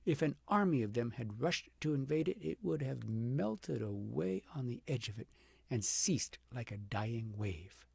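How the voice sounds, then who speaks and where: Gravelly, husky man reading a storybook. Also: medium pitch, animated, dry recording. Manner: dramatic, deliberate phrasing with emphatic swells and hushed drops. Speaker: audiobook narrator reading a fairy tale aloud. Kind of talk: expressive storybook narration.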